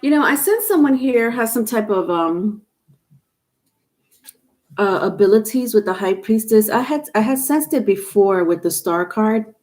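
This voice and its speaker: A woman's voice: medium pitch, animated, a little slow, a little mellow. Stuffy-nosed woman giving a tarot reading. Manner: rambling, repeats and restarts, many fillers. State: stuffed-up nose. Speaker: tarot reader. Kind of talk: live tarot reading to viewers. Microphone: webcam or phone on camera.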